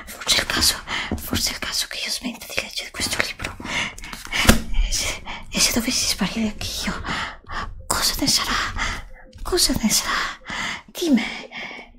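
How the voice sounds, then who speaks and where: A whispering, frightened young female student played by a woman in an ASMR roleplay. Her voice is fairly high and breathy, and she speaks very slowly and haltingly. She is anguished and dramatic, repeating herself close to the mic. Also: exaggerated voice. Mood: startled, awed. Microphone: close desktop microphone.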